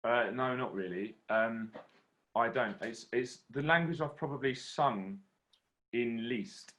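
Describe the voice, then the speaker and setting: A man's voice: medium pitch, slow pace, a bit bright. A young man from southern England, a singer in an online masterclass, speaking in an articulate, considered way with hesitations over a video call. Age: young adult.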